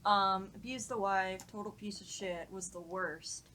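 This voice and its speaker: A woman's voice: medium pitch, fairly even intonation, unhurried, sharp tone. A woman in casual podcast conversation, telling stories informally with some swearing. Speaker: podcast panelist. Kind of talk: casual chat, anecdote telling.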